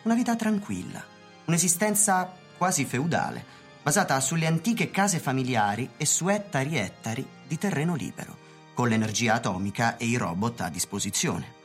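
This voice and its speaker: A woman narrates a radio play in a very low register, with lively, moving intonation. Music plays softly beneath her measured, literary scripted reading.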